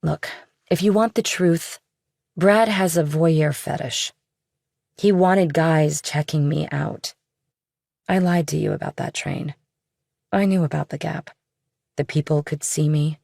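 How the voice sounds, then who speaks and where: A woman's voice: deep voice, unhurried, gravelly, long pauses, a little mellow, no room sound, studio quality, exaggerated voice. A woman voicing an evasive, nonchalant young woman in an audiobook, casual and a little defensive. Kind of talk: audiobook character dialogue.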